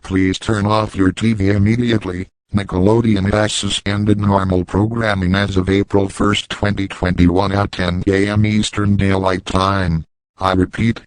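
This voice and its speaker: Male announcer for emergency alerts, low and flat, smooth delivery in a dry recording. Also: dry recording, rough recording. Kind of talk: scripted emergency broadcast announcement.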